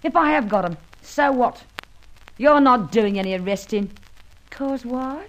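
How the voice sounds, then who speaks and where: Brazen high-voiced London lady crook. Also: expressive, unhurried, poor audio. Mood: defiant. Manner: bossy, slangy, taunting. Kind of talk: radio crime serial acting.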